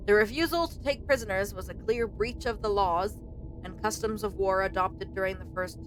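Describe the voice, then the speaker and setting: A woman streaming a let's play, reading game text aloud and commenting in a casual, informal way. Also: rough recording. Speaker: streamer playing and reading a visual novel. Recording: clear rumbling background noise.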